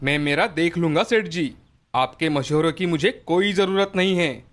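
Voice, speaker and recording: Poor young villager, male actor: earnest, proud, slightly high voice over clear background music. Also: normal speed, fairly bright tone, natural voice. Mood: defiant. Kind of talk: animated moral story dialogue.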